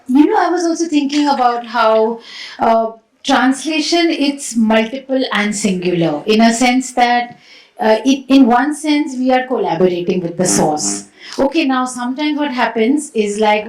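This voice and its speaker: A woman moderating a festival panel, asking thoughtful questions in an animated way with fillers. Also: a little high, moderate pace, echoey room, rough recording. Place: festival stage hall.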